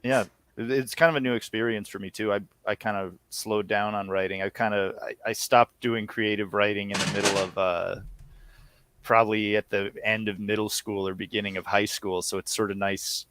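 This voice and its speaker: A man's voice: fairly low pitch, fairly even intonation, normal speed, a bit bright, a little hiss or hum. A man chatting casually as an interview guest, with a laid-back, wry manner. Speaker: podcast guest, audio series co-creator.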